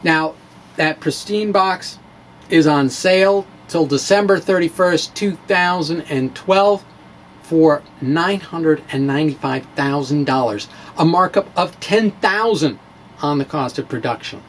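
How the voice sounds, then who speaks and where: A man's voice: fairly high pitch, a little slow, a little hiss or hum. A man giving a dramatic reading of his own blog with casual asides, wry and playful with theatrical emphasis.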